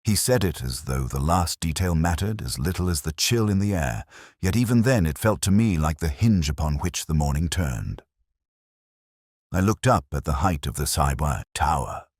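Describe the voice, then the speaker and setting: Very deep-voiced man narrating a detective story. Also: expressive, darkish tone, no echo, clean studio sound. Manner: measured, brooding, formal storytelling. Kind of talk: scripted literary detective story narration in the first person.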